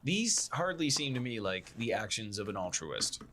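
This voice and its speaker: A man's voice: fairly low pitch, sing-song, normal speed, fairly bright tone, a little hiss or hum. A man narrating a scripted video as a YouTuber, with a sarcastic, theatrical delivery.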